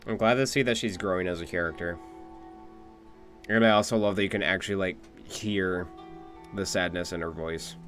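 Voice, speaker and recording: A man's voice: deep voice, fairly even intonation, moderate pace, pauses often, fairly bright tone, soft background music. A man giving casual, conversational playthrough commentary as a game streamer.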